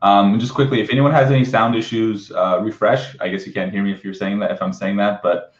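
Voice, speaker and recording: Echoey, low-voiced man hosting a webinar. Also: fairly even intonation, quick pace. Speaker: webinar host. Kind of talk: casual live webinar interviewing. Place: indoor room, live online video call. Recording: roomy echo, dull lo-fi webcam sound.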